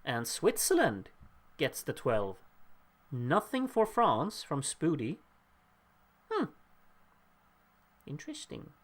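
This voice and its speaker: A man's voice: fairly high pitch, huge pitch swings, slow pace, pauses often. A man hosting a live stream, casually commenting on voting results and reading out viewer names with wry jokes, his voice a bit worn. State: losing his voice. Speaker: livestream host.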